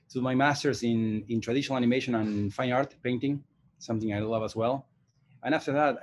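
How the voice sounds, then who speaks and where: A man in his forties, originally from Argentina, answers interview questions about his work. He talks in a thoughtful, unhurried way, pausing and restarting his sentences as he searches for words, with a voice of ordinary middle pitch. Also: a little mellow. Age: 40s. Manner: thoughtful, hesitant, many restarts. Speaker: animation director and teacher. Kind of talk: casual interview.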